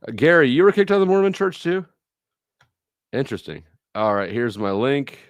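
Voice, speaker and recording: A man's voice: mid-range voice, big pitch swings, fairly quick, no echo. A middle-aged man hosting a livestream, chatting casually with viewers in a rambling, blunt way with some swearing. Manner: rambling, blunt, profane, anecdotal. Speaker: livestream host.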